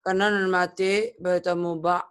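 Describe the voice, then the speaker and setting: A man's voice: sits high, little pitch movement, moderate pace, a bit bright, smooth. A man answering recitation questions in short, hesitant replies. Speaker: student.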